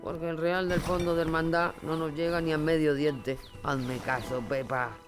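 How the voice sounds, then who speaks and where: A woman's voice: low-pitched, steady tone, moderate pace, natural voice. A woman playing a bitter, crippled older woman, a former cigarette-factory worker, complaining plaintively in a radio drama. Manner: plaintive, grumbling. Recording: radio drama with music behind.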